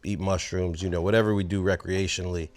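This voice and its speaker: A man in his forties hosts a loose podcast chat and swears freely. His voice sits a little low, and he talks to his guest like a friend. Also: fast. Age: 40s. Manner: animated, swears freely, calls people bro. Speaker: host. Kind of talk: casual chat.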